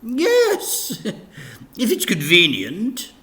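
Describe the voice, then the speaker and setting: A man's voice: high voice, huge pitch swings, drawn-out words, sharp tone, voice pushed up, heavily acted. A man voicing a gushing, giggly, over-eager lady vicar in a children's storybook. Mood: giggly, insistent.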